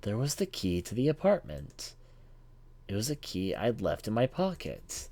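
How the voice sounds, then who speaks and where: A man's voice: mid-range voice, very animated, normal speed, vocal fry, warm tone. A man reading a visual novel aloud as a let's play commentator, telling it dramatically and sometimes stumbling over words. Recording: music behind the voice. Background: visual novel music.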